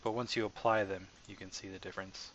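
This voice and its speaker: A man narrating a casual software tutorial as the developer, informal and hesitant, as if thinking aloud. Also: deep voice, little pitch movement, fast. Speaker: software developer presenting own asset package. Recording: dull lo-fi mic with faint background noise.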